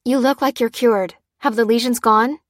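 Woman reader as a wry female lead, quick-witted, audiobook dialogue, lifted pitch, dry close sound. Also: steady tone, dry recording, natural voice. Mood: amazed.

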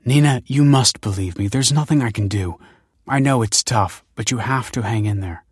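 A man's voice: mid-range voice, moderate pace, breathy edge, dry recording, studio quality, voice pushed up, natural voice. A man voicing a firm, tense married woman in an audiobook, insistent and emphatic. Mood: nervous, firm. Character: firm, tense wife, a fertility director.

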